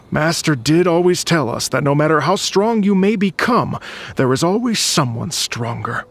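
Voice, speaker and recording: Gravelly, proud senior swordsman. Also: a little high, animated, unhurried. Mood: humbled, formal. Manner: formal, declarative. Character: proud, gruff senior swordsman disciple, sternly honorable. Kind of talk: video game voice acting. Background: music.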